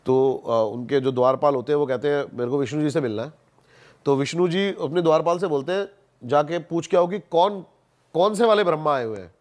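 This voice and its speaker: A man with a voice pitched a little high. He talks as a podcast guest, spinning stories and explanations in a casual way. He often repeats a word to drive it home. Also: a little fast, darkish tone.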